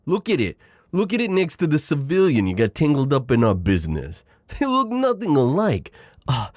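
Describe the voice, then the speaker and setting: A man's voice: mid-range voice, darkish tone, no echo, studio quality. A man playing a chatty, exasperated mafia boss in an audio roleplay, courteous but foul-mouthed, with wisecracking gangster slang. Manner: wisecracking gangster slang, saying "yous" and "doll". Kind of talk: ASMR roleplay acting.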